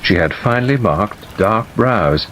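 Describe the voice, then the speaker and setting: A man reading literary narration as an audiobook narrator, in a measured scripted delivery. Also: fairly low pitch, slight background noise, low-quality recording. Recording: steady rain sound over the reading. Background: rain.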